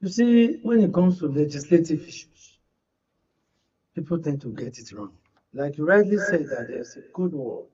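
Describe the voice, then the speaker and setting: A man's voice: sits high, a little mellow, slightly rough. A Nigerian man giving political analysis on a panel in a formal, wordy way, fond of proverbial turns of phrase. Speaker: panel guest, media analyst. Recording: distant mic, dull lo-fi sound.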